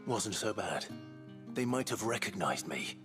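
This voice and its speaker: An actor playing a gruff, wary outlaw warrior in a video game, with a terse, brooding delivery. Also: male voice, on the low side, big pitch swings, unhurried. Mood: grudging. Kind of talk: video game cutscene acting. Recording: music clearly behind the voice.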